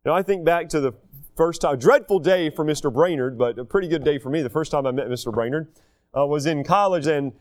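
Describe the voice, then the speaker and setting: A man in his thirties preaching a sermon, reading scripture aloud and explaining it with quick asides. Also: a little high, a little mellow. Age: 30s. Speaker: pastor.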